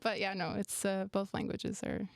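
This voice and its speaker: A woman's voice: medium pitch, flat delivery, normal speed, vocal fry. A young woman whose first language is Romanian, chatting casually as an interview guest with lots of fillers. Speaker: sports media guest.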